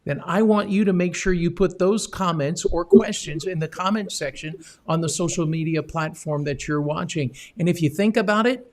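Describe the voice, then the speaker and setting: A man hosting an online missions interview, upbeat and speaking directly to the viewers. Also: high voice. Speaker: host.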